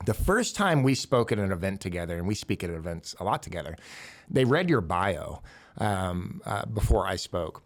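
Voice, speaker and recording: A man's voice: sits low, big pitch swings, moderate pace. Earnest man hosting a podcast. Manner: earnest, self-deprecating, with fillers and restarts. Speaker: podcast host and county commissioner. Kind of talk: podcast interview.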